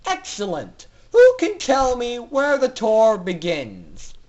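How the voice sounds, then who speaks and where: A man's voice: very high voice, wildly swooping pitch, slow, darkish tone, dull audio, voice pushed up, heavily acted. A man voicing a sadistic pegasus mad scientist in a dramatic story reading, commanding and theatrical with mocking politeness. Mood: mock-polite, menacing. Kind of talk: dramatic fan fiction reading.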